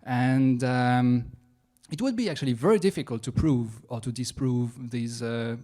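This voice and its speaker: A young man from France with a French accent, doing a science stand-up comedy set in a self-mocking, halting way with playful asides. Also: medium pitch, dark tone. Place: comedy venue stage.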